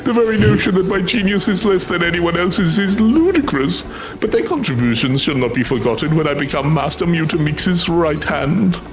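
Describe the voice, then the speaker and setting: A man's voice: a little high, lively intonation, moderate pace, reverberant. A man voicing a vain, giddy scroll-crafter from a fantasy game, speaking in florid, theatrical, gloating phrases. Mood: smug.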